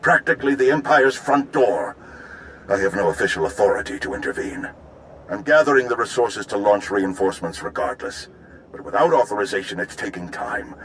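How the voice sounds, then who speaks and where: An actor playing a courtly, earnest Republic general in a game cutscene, speaking in a measured, formal way. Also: male voice, fairly low pitch, fairly bright tone, slight background noise, poor audio. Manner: measured, formal, polished delivery. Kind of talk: scripted game cutscene dialogue.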